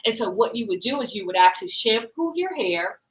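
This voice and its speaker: A woman's voice: fairly high pitch, very animated, a little fast, low-quality recording. A woman from the Bahamas presenting and demonstrating her hair-care brand's products, explaining step by step and often checking in with "right?".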